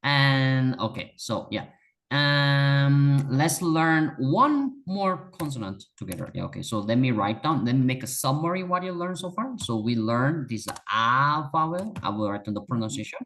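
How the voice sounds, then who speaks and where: Patient Korean-accented teacher with lively pitch. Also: normal speed. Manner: patient, repeats words for emphasis, encouraging. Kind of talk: one-on-one language lesson.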